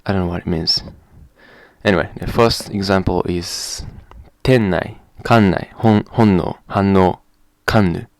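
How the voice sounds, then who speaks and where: A man with a Japanese accent, explaining in a hesitant, halting vlog way and slowly sounding out example words. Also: low-pitched, dry recording, clean studio sound. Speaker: vlogger. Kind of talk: casual spoken explanation to camera.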